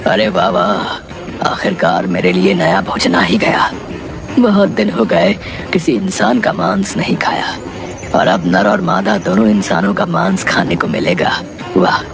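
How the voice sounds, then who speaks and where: A man's voice: deep voice, expressive, a little slow, vocal fry, over music, exaggerated voice. Man voicing a gloating man-eating forest ogre. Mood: gloating, hungry. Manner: menacing, commanding, gloating over food. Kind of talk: acted children's moral story.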